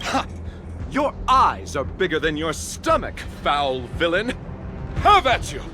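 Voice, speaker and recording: Suave boastful game smuggler, very dramatic swings, slow, noisy behind. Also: male voice, a little high, very animated. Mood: defiant. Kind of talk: melodramatic video game voice acting.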